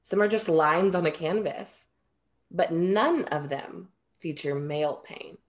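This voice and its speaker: A young woman acts out a perceptive muse in a play reading. The voice is on the low side, with formal, measured phrasing and a frank, composed manner. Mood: firm, measured. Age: young adult. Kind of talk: virtual staged play reading. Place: small room at home, video call. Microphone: video call.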